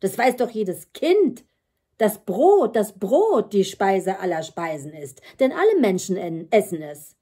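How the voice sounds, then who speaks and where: A woman reading a storybook as a cheeky, clever shepherd boy, lively, emphatic and incredulous. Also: medium pitch, unhurried, husky. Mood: scornful, confident.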